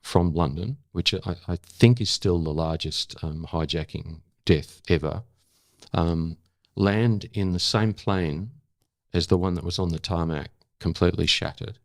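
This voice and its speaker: An older man, telling stories in conversation in a thoughtful, halting way that often corrects itself. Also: low voice, gravelly, dry recording, clean studio sound. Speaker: interview guest, former journalist and actor. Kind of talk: reflective conversational storytelling.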